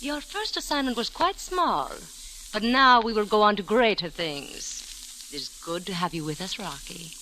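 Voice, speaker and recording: An actress playing an alluring, scheming spymaster who speaks with formal, mocking poise in a 1940s radio drama. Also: female voice, medium pitch, animated, normal speed, pushed and bright, poor audio. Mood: coolly threatening. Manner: silky, formal, no contractions. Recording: old radio recording, faint hiss.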